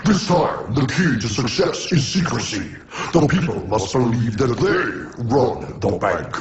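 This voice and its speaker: A man's voice: mid-range voice, lively intonation, unhurried, crowd noise, close to an everyday voice. A man voicing a scheming villain leader who speaks in a cold, commanding and scornful way. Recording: dull lo-fi with noise.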